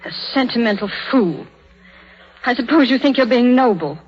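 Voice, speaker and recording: An actress playing a fiercely loyal, blunt and indignant young nurse in a 1930s radio drama. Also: female voice, fairly high pitch, expressive, normal speed, faint music behind. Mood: indignant, scornful. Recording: muffled, noisy 1930s radio broadcast.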